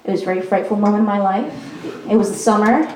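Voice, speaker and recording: A woman's voice: mid-range voice, quick pace, darkish tone, distant mic, a little hiss or hum. A young woman from Peru performing a stand-up comedy set in a chatty, self-mocking way, with frequent ums. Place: small performance venue. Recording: echoey venue recorded from afar.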